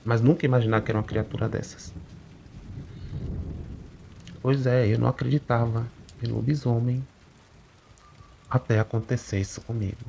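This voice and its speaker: A man narrating a first-person supernatural account in a conversational, unhurried way, often adding little tag questions. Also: fairly low pitch, vocal fry, dark tone, a little hiss or hum. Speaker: channel narrator reading a listener's account. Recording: muffled recording with faint rain behind.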